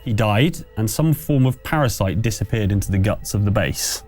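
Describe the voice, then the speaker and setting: A man's voice: fairly low pitch, lively intonation, moderate pace, darkish tone, faint music behind. A man narrating a tabletop roleplaying game as game master, in a conversational storytelling manner. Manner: conversational scene-setting storyteller.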